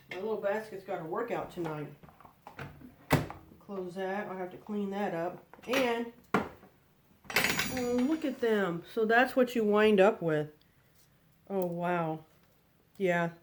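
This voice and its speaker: A woman's voice: mid-range voice, slow, pauses often, very noisy. A middle-aged woman with a slight head cold, rambling chattily through a live online product demo from her kitchen as a direct-sales consultant. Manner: chatty and rambling, chuckles often.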